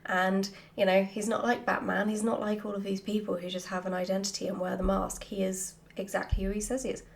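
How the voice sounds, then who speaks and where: A woman chatting casually and answering questions in an animated, giggly, self-deprecating way, as a writer hosting a Q&A. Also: mid-range voice, a little fast, gravelly, a little hiss or hum.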